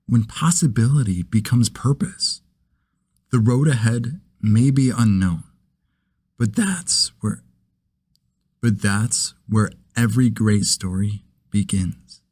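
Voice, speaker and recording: Narrator male performer: inspirational, solemn, measured, slow scripted read, faint huskiness. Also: medium pitch, lively intonation, darkish tone, husky, no room sound. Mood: solemn, uplifting. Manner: measured, earnest.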